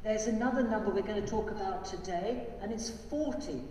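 An older man, a priest, talks gently and simply to children from the lectern. His tone is mellow and dark. The sound is roomy and distant, with a long church echo. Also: sits high, brisk, a little hiss or hum. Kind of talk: liturgical prayer and children's address. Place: large church. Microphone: lectern mic, far from camera. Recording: echoey church from far off.

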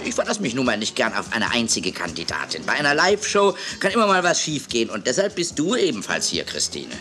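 A man's voice: medium pitch, huge pitch swings, fairly quick, sharp tone, faint music behind. A man playing a scatterbrained, fussy television producer in a children's audio drama, stammering and repeating himself. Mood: rambling explanation. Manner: stammering, repeating himself, losing his words.

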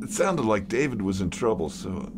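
A man playing an anxious, shaken character in a horror audio drama, with halting, strained delivery. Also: fairly low pitch, big pitch swings, very noisy. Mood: dazed, weary. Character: anxious, shaken man desperate to save his friend.

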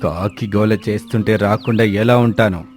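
A fierce cartoon eagle, voiced by a man, scolding and bossing smaller birds. The voice drops low and sounds threatening and demanding, over a music bed. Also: fairly even intonation, moderate pace, darkish tone, close to an everyday voice. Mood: angry scolding. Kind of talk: children's cartoon story dialogue.

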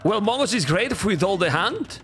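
A man in his thirties with a Spanish accent, casting a strategy game live and chatting to viewers, repeating phrases and going a bit hoarse. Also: high voice, normal speed, slight background noise. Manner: animated, repeats phrases, talks to chat. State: losing his voice. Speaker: game caster. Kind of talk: live game commentary to chat. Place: home streaming room. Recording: faint game music behind.

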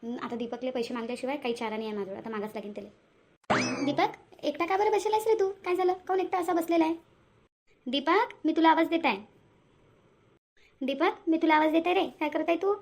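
A woman's voice: high-pitched, fast talker, long pauses. A woman voicing a scheming, wheedling young cartoon character who repeats herself, speaking Marathi with a Varhadi accent from Vidarbha. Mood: worried scheming. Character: scheming young woman sweet-talking for money. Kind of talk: amateur cartoon voice-over.

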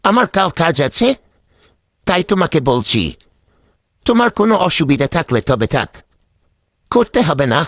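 A man voicing a kindly father in a cartoon, gentle, coaxing and hesitant. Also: a little high, sing-song, normal speed, pauses often, no echo, studio quality. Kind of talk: cartoon dubbing.

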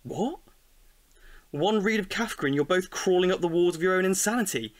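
A man's voice: high-pitched, moderate pace, no room sound, natural voice. A man playing an exasperated, scornful, sarcastic housemate in an audio drama. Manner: sarcastic, incredulous. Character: exasperated, scornful, sweary young male housemate.

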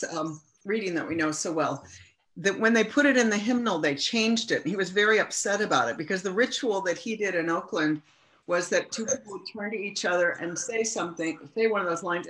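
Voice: mid-range voice, fairly bright tone, rough recording. Reflective panelist telling a story. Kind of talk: panel discussion.

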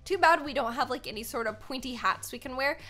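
A young American woman giving casual gaming commentary as host, chatty and expressive, given to exclaiming. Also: sits high, fast. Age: young adult.

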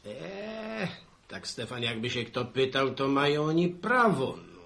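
A folksy country postman in a 1960s Polish radio serial. His voice sits at a middle pitch, and he talks in a matter-of-fact, argumentative way with his neighbours. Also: very animated, measured pace, vocal fry, a bit bright, exaggerated voice. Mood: insistent, explaining. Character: Wiktor, village postman bringing bad news to neighbours.

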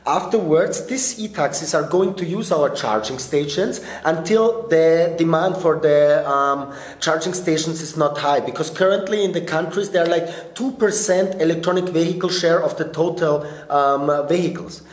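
A man in his thirties, born in Bosnia and raised in Austria, as an interview guest, giving earnest answers with a slight hesitance and frequent fillers. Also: fairly high pitch. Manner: earnest, slightly halting non-native English with fillers. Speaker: startup business developer, interview guest. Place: event press area in front of a logo backdrop, indoors. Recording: roomy event interview recording.

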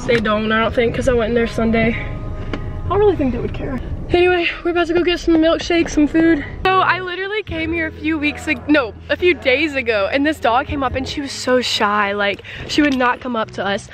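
A woman's voice: sits high, brisk, slight background noise. A young woman chatting casually as a vlogger, recorded on a handheld camera while out exploring. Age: young adult. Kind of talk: casual vlog chat. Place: handheld camera on the move in an abandoned school, later outdoors and in a car. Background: music.

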